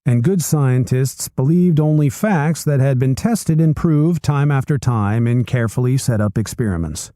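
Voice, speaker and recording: A man reading a nonfiction book aloud chapter by chapter, with expressive storytelling delivery. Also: mid-range voice, moderate pace, few pauses, no room sound, studio quality. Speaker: reader.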